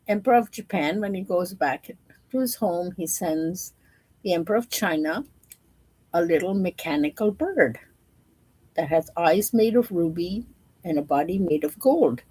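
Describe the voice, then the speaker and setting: A woman's voice: on the low side, a little mellow, slightly rough, no echo, low-quality recording. A woman storyteller reading a children's storybook aloud and explaining it simply to young listeners.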